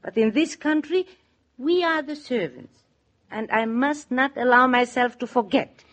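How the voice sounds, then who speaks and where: An actress playing a bossy but soft-hearted immigrant wife and cook with a German accent and emphatic, stilted English, in 1940s radio drama style. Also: female voice, fairly high pitch, unhurried. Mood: worried, self-reproaching.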